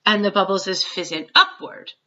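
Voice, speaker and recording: A woman voicing a big, kindly, excitable old male giant from a storybook, with mangled grammar and nonsense words. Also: mid-range voice, moderate pace, lo-fi sound. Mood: earnest explaining.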